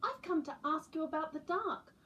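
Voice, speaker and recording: A woman's voice: high-pitched, animated, normal speed, sharp tone, slight background noise, pitched above the performer's own voice. A woman reading a storybook as a young male baby owl, earnest and timid in a childlike, emphatic way.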